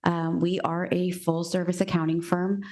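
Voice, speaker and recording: A woman's voice: lowish voice, little pitch movement, moderate pace, darkish tone. A woman from Marin, California, an accountant introducing herself on a panel in a plain, friendly way.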